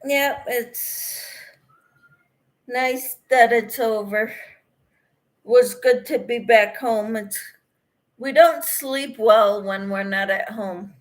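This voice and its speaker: A woman's voice: fairly high pitch, extremely slow, pauses often, poor audio. A middle-aged woman casually chatting with viewers on a livestream, reading their comments aloud and laughing often. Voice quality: speaks in a monotone, as her husband says.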